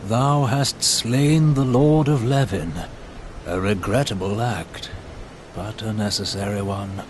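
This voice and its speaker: An actor playing an earnest, courteous scholar in a fantasy video game, speaking formally in measured, archaic phrases. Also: male voice, on the low side, darkish tone. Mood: grave, thoughtful. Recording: faint ambient noise.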